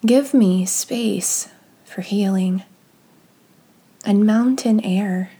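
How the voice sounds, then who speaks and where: A woman with a soft, breathy, husky voice reads scripture and leads guided prayer in a hushed, unhurried, meditative way. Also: medium pitch.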